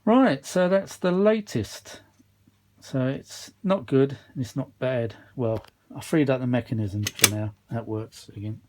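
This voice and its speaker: A man's voice: medium pitch, measured pace. A man giving casual, unscripted workshop chat as a repair vlogger, rambling along with a mildly weary grumble. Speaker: vintage audio repair vlogger.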